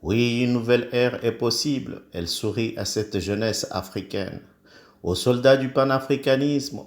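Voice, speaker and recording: A man's voice: fairly low pitch, echoey room. A man from the Comoros reciting a solemn praise poem in an oratorical, declamatory way, in short emphatic phrases. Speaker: poet.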